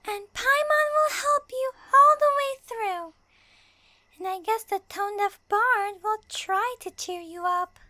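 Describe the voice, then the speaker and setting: A woman voicing a tiny, excitable, bossy but loyal childlike fairy companion in an audio roleplay. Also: very high voice, takes it slowly, no room sound, full character voice. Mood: gentle, encouraging. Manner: speaks of self in third person, exclamatory. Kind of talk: fan audio roleplay acting.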